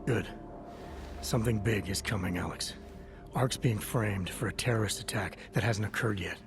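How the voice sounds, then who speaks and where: A man's voice: on the low side, moderate pace, creaky. An actor playing a grim male agent in a video game, talking in a terse, dry, understated way. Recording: music behind.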